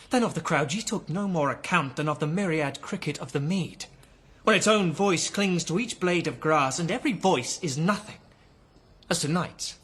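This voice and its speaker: An actor playing a proud, troubled knight in a classical radio drama, speaking formal archaic verse. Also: male voice, fairly high pitch, fairly bright tone, slightly rough, heavily acted.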